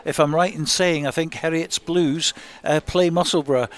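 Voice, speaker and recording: A man's voice: on the high side, steady tone, normal speed. A man hosting a radio sports show, reading out results in a live round-up.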